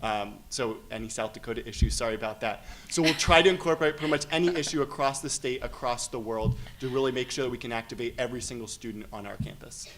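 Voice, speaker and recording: A man's voice: mid-range voice, fast talker, few pauses. A young man on a student panel, speaking casually with a wry touch. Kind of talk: panel discussion answer. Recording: faint background noise.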